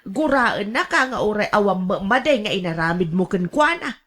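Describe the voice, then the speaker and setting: A woman's voice: medium pitch, lively intonation, moderate pace, a bit bright. A woman playing an older, quarrelsome mother in a radio drama, aggrieved and defensive, blaming everyone around her. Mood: resentful grumbling. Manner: querulous, defensive. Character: aggrieved, quarrelsome gambling mother who blames her relatives.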